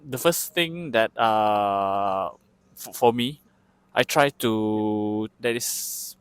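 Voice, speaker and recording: A man from Malaysia answers street interview questions in a lowish voice. He speaks slowly and haltingly, often repeating words. The sound is dry and close. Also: fairly bright tone, clean tone, dry recording. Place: outdoors on a street.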